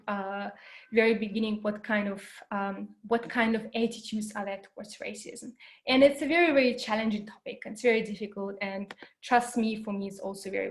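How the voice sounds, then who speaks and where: A woman's voice: medium pitch, fairly even intonation, brisk. A woman who grew up in New Zealand, giving an online educational talk in an earnest way, with many fillers and repeated words. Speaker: workshop presenter. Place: home, Berlin.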